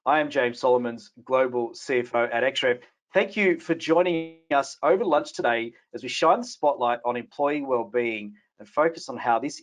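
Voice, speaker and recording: A man hosting a live business webinar, reading a scripted opening and then asking loose questions to a panel. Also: mid-range voice. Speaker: webinar host, company CFO.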